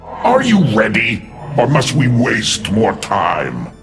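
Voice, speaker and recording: An actor voicing a snide, imperious male alien high lord in a video game, haughty and sardonic. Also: huge pitch swings, slow pace, vocal fry, over loud music, heavily acted. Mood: scornful.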